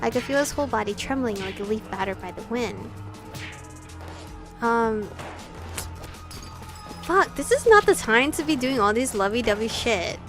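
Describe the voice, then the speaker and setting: A woman hosting a visual novel let's play, reading aloud and reacting in an expressive, sweary, giggly way. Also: on the high side, normal speed, pauses often. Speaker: gaming video host. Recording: music playing behind the voice. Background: background pop music.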